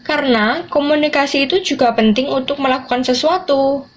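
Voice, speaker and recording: A woman's voice: sits high, a little fast, a little hiss or hum. A teenage young woman, giving scripted educational narration as a student podcast presenter. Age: teens, high school student.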